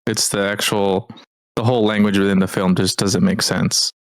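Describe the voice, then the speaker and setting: A man's voice: lowish voice, little pitch movement, moderate pace, creaky. A man co-hosting a casual podcast chat, explaining points in a laid-back, agreeable way. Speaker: podcast co-host.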